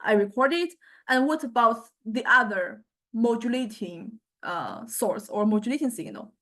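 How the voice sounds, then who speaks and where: A woman lecturer giving an informal, unscripted software demonstration, with halting phrasing and frequent check-in questions. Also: a little high, very animated.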